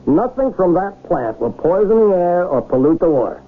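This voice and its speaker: Plainspoken male mayor-druggist, doubtful, rough-edged husky voice, highish pitch, old-time radio drama, boxy old broadcast. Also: sing-song, moderate pace, phone-quality sound. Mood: skeptical. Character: skeptical, folksy small-town mayor and pharmacist.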